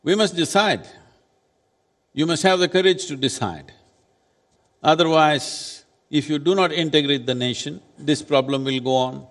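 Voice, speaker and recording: A man in his sixties, seated on stage at a summit, answering questions in a measured, deliberate and firm way. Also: fairly high pitch, fairly bright tone. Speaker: spiritual leader speaking as summit panelist. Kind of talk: onstage panel answer. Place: large conference hall stage. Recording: reverberant conference hall.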